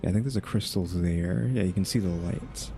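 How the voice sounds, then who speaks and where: Low-voiced man musing over a game. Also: a little fast, vocal fry, dark tone, faint music behind. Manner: rambling, musing aloud with questioning asides. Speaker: gaming streamer. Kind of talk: casual game playthrough commentary.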